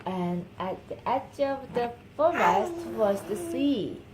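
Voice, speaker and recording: Korean-accented mother reading aloud with lively swoops. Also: fairly high pitch, heavy background noise. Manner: affectionate, cooing, with drawn-out repeated words. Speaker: mother reading a picture book to her baby. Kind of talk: read-aloud storytelling mixed with playful baby talk.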